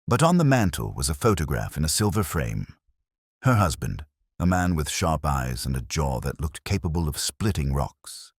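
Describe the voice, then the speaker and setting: A very deep, husky male actor's voice as a sardonic hardboiled detective's sidekick, telling a mystery audiobook. The delivery is dry and wisecracking, recorded close and dry. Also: no room sound, heavily acted. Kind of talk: first-person detective audiobook narration.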